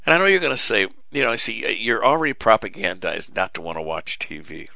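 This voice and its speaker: A middle-aged man with a very low, gravelly voice that carries a bright, hard edge, rambling at listeners in a folksy, preachy way with many fillers and restarts. His voice is starting to give way after long talking. The sound is dry and close, from a studio mic. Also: expressive, brisk, dry recording. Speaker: radio show host. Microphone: studio mic with cough button.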